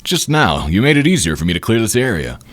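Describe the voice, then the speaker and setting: A man's voice: lowish voice, sing-song, fast, fairly bright tone, no room sound, pitched above the performer's own voice. A man voicing a loyal knight from a comic, a playful and reassuring companion.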